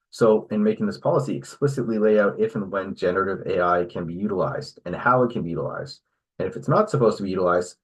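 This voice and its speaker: A man presenting and moderating an online webinar, explaining in a measured way and often checking in with "right?". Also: deep voice, slightly rough, poor audio.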